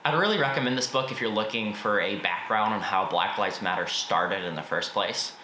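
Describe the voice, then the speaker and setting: A man with an American accent talks through books in a casual, opinionated vlog style. His voice sits fairly low but has a bright, forceful edge. The room adds clear echo around his words. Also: fairly quick. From United States. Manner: informal, opinionated, mild swearing. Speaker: book reviewer and video host. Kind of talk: casual spoken book review to camera. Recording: roomy echo, faint background noise.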